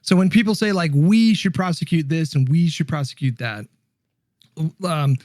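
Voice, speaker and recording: A man with a slightly high voice speaks in a conversational debate. He lays out his position haltingly, pausing and restarting his phrases. His audio is dry and free of room sound. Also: expressive, a little mellow, no echo.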